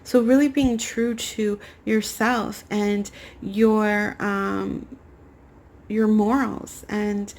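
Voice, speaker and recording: A young woman giving a rambling spiritual tarot reading to camera, her voice raspy as she gets over a cold. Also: mid-range voice, slight background noise. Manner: soft, meandering spiritual talk with many fillers. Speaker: tarot card reader.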